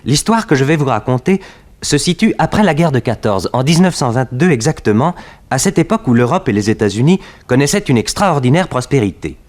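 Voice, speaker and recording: Narrator of a vintage French detective radio serial, played by a male actor: medium pitch, highly animated, articulate storytelling. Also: a little fast, natural voice. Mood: lively storytelling. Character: detective storyteller recounting his uncle's adventures. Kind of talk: scripted narration in 1960s French radio drama.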